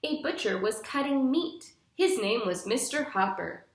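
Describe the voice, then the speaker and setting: A woman in her twenties reading a picture book aloud to young children like a teacher, with deliberate, clearly phrased, instructive storytelling. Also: a little high, animated, a little hiss or hum. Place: small living room, indoors. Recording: roomy indoor echo.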